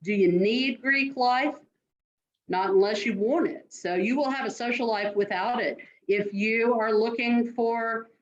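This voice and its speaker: Middle-aged woman, admissions dean; earnest, direct, muffled call audio. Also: mid-range voice. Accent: non-New York American. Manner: earnest, direct, advising students. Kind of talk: answering interview questions.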